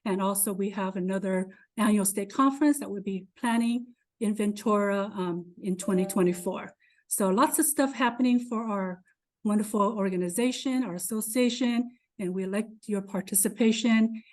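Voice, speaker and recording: A woman's voice: mid-range voice, normal speed, darkish tone, low-quality recording. A woman serving as an association president, giving an effusive welcome on a video call with many fillers. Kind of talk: welcome address.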